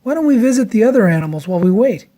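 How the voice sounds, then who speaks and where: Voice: medium pitch, lively intonation, very fast, dark tone, voice pushed up, lightly acted. A storyteller putting on a kindly, upbeat man. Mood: reassuring. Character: friendly man, George's kindly grown-up companion.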